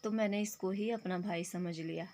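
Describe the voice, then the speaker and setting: A hoarse woman voicing a gentle young watermelon girl. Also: medium pitch, fairly even intonation, normal speed, a little hiss or hum, lightly acted. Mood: earnest. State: hoarse throat.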